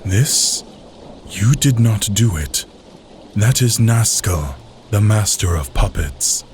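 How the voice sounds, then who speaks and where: An actor voicing a weary, stern, powerful immortal man in a video game, speaking gravely and with measured delivery. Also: on the low side, animated, a little mellow, slight background noise. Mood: grave, dry. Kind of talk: dramatic video game dialogue.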